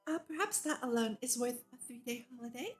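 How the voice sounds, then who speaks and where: A woman's voice: fairly high pitch, lively intonation, fairly quick. A woman streamer reading a visual novel aloud and commenting casually as an enthusiastic fan. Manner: enthusiastic, giggly fan reactions between read passages. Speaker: VTuber streamer.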